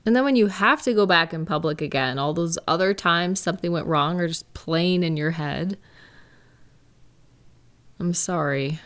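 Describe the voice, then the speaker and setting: A woman acting a gentle, caring partner in an intimate comfort roleplay, speaking in a tender, unhurried, reassuring way. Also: on the low side, lightly acted. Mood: sympathetic, soft. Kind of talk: roleplay comfort audio, intimate one-sided conversation.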